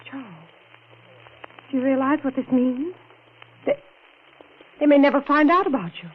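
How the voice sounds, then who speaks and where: A woman's voice: sits high, normal speed, close to an everyday voice. An actress playing a devoted, strong-willed young woman in love, earnest and emphatic in 1940s radio horror drama style. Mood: firm, calculating. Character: young, lovestruck woman, devoted and coolly scheming. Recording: tinny, noisy vintage radio recording.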